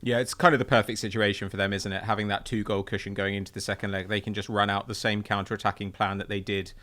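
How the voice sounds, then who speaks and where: A man's voice: fairly low pitch, flat delivery, fast, a bit bright. A man hosting a sports podcast, articulate and conversational as he steers the discussion with questions. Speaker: podcast host.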